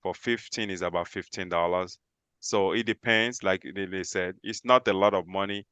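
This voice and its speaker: A man with a deep, resonant voice gives an informal explanation as an insurance agent. He tends to restate his points. The sound is close and dry, with little room around it. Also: steady tone, moderate pace, pushed and bright, clear, resonant tone, dry recording. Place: remote online group call.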